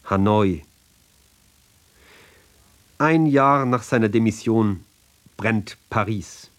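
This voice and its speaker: A man gives a declamatory literary radio reading in clipped phrases with pointed, sardonic emphasis. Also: lowish voice. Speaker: reader of a literary text.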